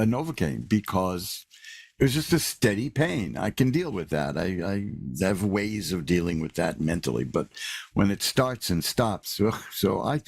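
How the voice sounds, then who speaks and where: Older male radio host, deep husky voice, wry and rambling. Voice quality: raspy. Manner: rambling, repeats words, wry asides. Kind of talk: casual live radio monologue. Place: New York.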